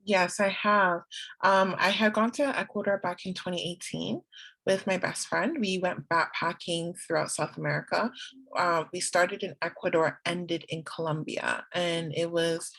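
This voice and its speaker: A woman's voice: on the low side, creaky, a bit bright, low-quality recording. A young woman, chatting as a guest in a casual interview, laughing often and acting out her own quotes. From Canada.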